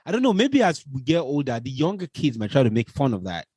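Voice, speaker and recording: A Nigerian-accented man of mid pitch, speaking in a thoughtful, reflective way. He is recorded dry and close in a studio, with no room sound around him. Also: wildly swooping pitch, fast, a little mellow, husky, dry recording. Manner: reflective, affirming, many backchannels. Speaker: podcast co-host. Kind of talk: casual chat.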